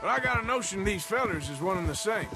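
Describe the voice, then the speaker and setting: A man's voice: high voice, lively intonation, quick pace. An actor playing a cautious, dry-humored male outlaw in a game cutscene, with a Western drawl. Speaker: game character. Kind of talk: cutscene voice acting. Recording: game audio with music under it.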